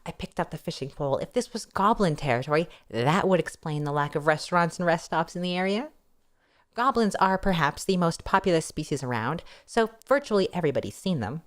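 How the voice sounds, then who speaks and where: A woman with a fairly low voice reads a story aloud as narrator. She is expressive and wry, slipping into playful, casual asides as she goes. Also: brisk. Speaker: streamer reading a novel aloud.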